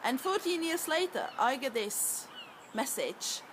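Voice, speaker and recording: A woman speaks in a soft, soothing and encouraging way as she guides a tapping session. Her voice sits a little high. A faint hiss runs under the recording. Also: big pitch swings, a little slow. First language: Czech. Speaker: EFT tapping practitioner.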